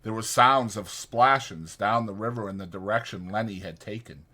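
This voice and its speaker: A man's voice: lowish voice, normal speed, sharp tone. A man reading literary fiction as a dramatized audiobook narrator.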